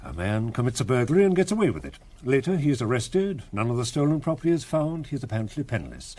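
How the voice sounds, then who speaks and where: A senior British police commissioner in a radio play. His voice is mid-pitched and husky, with varied intonation. He speaks with measured official courtesy and quiet, probing authority. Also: very animated. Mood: polite, probing. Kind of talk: radio drama acting.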